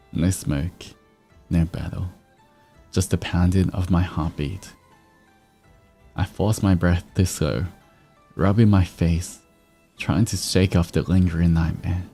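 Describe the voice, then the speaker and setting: Male streamer, very low register, slow unhurried delivery, reading stories and chatting to viewers. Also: steady tone, vocal fry. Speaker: VTuber streamer host. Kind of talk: livestream fan-fiction reading with casual chat. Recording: soft music behind. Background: background music.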